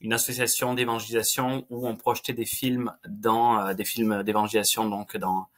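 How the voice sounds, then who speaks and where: A man's voice: fairly low pitch, level tone, normal speed, fairly bright tone. A man from France, the guest on a podcast over a video call, talking with many hesitations and some self-mocking humor. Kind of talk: conversational interview.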